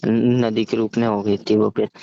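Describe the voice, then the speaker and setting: A man casually telling a story over the phone, halting and often stammering, repeating syllables and words. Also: on the low side, flat delivery, creaky, mellow. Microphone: phone call. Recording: muffled, echoey phone call recording.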